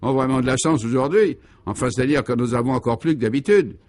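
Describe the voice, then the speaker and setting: A man's voice: medium pitch, fast talker, a little mellow, close to an everyday voice. A man voicing a ragged, absurdly optimistic townsman in a comic radio serial, polite, emphatic and delighted.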